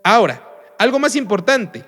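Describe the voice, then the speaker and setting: A man's voice: high voice, very animated, moderate pace, fairly bright tone, soft background music. A man from Mexico, teaching an online religious lesson in an emphatic way, full of rhetorical questions.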